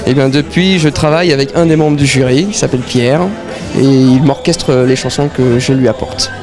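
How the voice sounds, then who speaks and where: A man's voice: mid-range voice, steady tone, normal speed, music in the background. A man in his thirties, a singer being interviewed at a concert, answering hesitantly with shy little laughs. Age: 30s. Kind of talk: casual interview. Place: concert venue.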